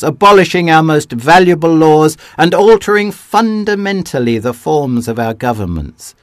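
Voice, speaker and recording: A man giving a measured, declamatory reading of a historic document, dropping low at the ends of phrases. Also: no echo, studio quality. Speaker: reader.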